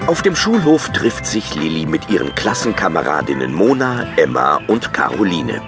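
A man's voice: low voice, big pitch swings, normal speed, over music. A man reading scripted narration for a children's audio drama.

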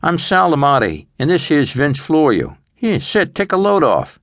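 A brash, streetwise Brooklyn tough guy from a crime story, as a male narrator puts him on. He is bossy and gruff in manner, and his pitch jumps high and lively in a dry recording. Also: normal speed, no room sound, full character voice. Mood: brash, hearty. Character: big gruff loud Brooklyn Italian loan shark.

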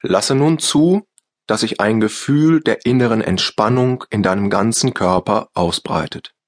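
A German man guides a deep relaxation practice in a hushed, unhurried voice. He speaks in short calm phrases, leaving long pauses between them. His voice sits a little low and never rushes. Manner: slow, soft, calm instructions with long pauses. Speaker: meditation guide. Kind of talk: guided yoga nidra meditation.

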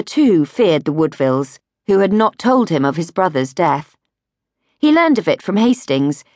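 A woman reading a history audiobook as a scripted narration, in a measured, clearly phrased storytelling manner. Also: lowish voice, no echo, clean studio sound. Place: recording studio.

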